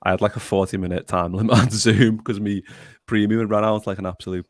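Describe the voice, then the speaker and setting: A man's voice: fairly low pitch, steady tone, fast, no echo, studio quality. A young man hosting a casual podcast chat and reading out viewer comments. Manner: chatty, reads out viewer comments. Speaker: podcast host.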